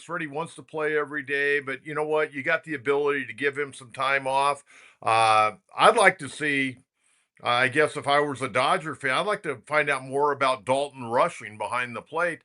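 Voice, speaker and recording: A man's voice: on the high side, normal speed, bright, forceful tone. A man hosting a sports talk show, speaking in a direct, colloquial, conversational way.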